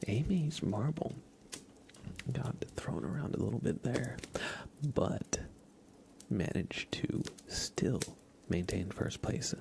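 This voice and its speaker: A man's voice: medium pitch, lively intonation, slow pace, creaky, darkish tone, slight background noise. A man hosting an ASMR live stream, giving mostly whispered, mock-dramatic game commentary.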